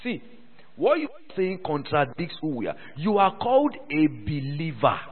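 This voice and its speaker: A man's voice: on the high side, huge pitch swings, moderate pace, slightly rough. A man preaching a sermon to a church, repeating phrases and calling for responses from the congregation. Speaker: pastor. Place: church hall. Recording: thin phone-like sound with long hall reverb.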